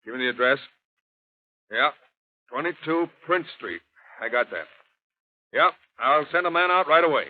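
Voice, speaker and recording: An actor playing a brusque, commanding police commissioner in 1930s radio drama, barking curt orders. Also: male voice, fairly high pitch, animated, moderate pace, long pauses, lightly acted. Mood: businesslike. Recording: tinny, noisy 1930s radio recording.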